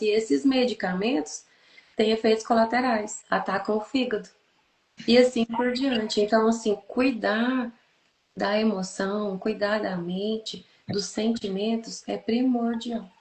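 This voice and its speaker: A woman's voice: fairly high pitch, steady tone, normal speed. A Brazilian woman in her thirties explaining things didactically in a podcast conversation, listing and repeating points for emphasis. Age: 30s. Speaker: therapist, podcast host. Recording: echoey, roomy sound.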